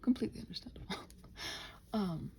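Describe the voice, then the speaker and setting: A woman rambling casually to listeners as she works, muttering and thinking aloud in a self-deprecating way, her soft voice often dropping near a whisper. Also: fairly quick. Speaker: audio roleplay and ASMR creator.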